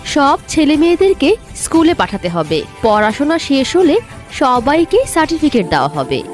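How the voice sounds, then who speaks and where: A woman tells a children's story in an expressive, scripted style. Her voice is very high and swings widely in pitch. Faint music plays behind her. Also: moderate pace. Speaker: story narrator.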